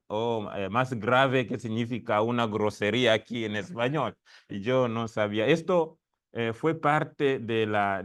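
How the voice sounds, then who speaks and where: A man from the Democratic Republic of the Congo speaks on a university history panel in Spanish, his second language. His voice is smooth and resonant at a middle pitch. He pauses, restarts and fills gaps as he searches for words. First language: not Spanish; spoke Italian for years before. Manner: hesitant, many fillers and restarts. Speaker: doctoral student panelist. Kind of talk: academic panel talk. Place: lecture room at a university event.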